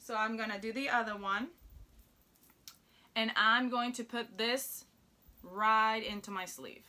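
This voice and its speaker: Bright-voiced woman teaching sewing. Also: fairly high pitch, steady tone, measured pace. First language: Spanish. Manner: chatty, upbeat, narrates each step while working. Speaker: sewing instructor. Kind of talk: casual sewing tutorial.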